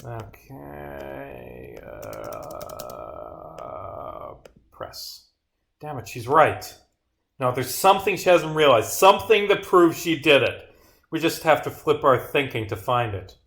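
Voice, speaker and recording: A man's voice: medium pitch, wildly swooping pitch, slow pace, creaky, fairly bright tone, slight background noise. A man casually livestreaming his own game, reading dialogue aloud and muttering short asides to himself. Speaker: game developer streaming.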